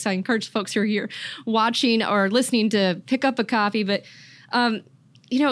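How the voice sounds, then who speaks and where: A woman's voice: medium pitch, dry recording. A woman hosting an interview show, reading an upbeat intro and then asking long questions filled with "um" and "you know". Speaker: podcast host.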